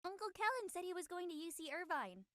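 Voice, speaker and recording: A woman's voice: very high-pitched, sing-song, fast talker, fairly bright tone, no room sound, studio quality, exaggerated voice. A woman playing a bubbly, affectionate, feisty young friend in casual scripted dialogue.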